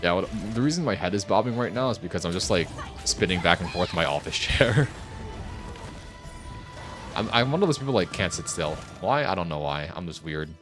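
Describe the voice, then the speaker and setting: A man's voice: deep voice, animated, brisk. A man doing casual live game commentary, rambling and muttering to himself as he plays. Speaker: game streamer. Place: home office. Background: game music.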